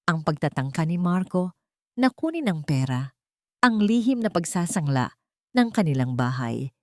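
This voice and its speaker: A woman tells a dramatic story from a script. Her voice sits a little low for a woman, and the recording is very dry and close, with no room sound around it. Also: very animated, normal speed, no echo.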